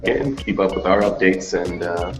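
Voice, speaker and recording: A man's voice: deep voice, moderate pace. A man hosting a casual music panel discussion, talking loosely and informally. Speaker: host of a music talk show. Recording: muffled, echoey room with noise.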